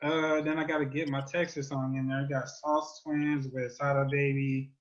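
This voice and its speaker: A man's voice: mid-range voice, little pitch movement, normal speed, dull audio. An American man co-hosting in a casual, playful chat, slangy and freely profane. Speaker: podcast co-host.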